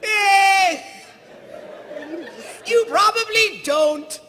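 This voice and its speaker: A man's voice: very high voice, extremely slow, some chatter behind, a little hiss or hum, exaggerated voice. A man voicing a manic, screechy cartoon alien in a theatrical, demanding way at a live comedy table read in an echoey hall. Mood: indignant. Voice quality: piercing, screechy. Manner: theatrical, demanding, erupts into shouts. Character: manic shrill cartoon alien in Princess Leia's role. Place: stage at a comic convention. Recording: echoey convention hall with audience.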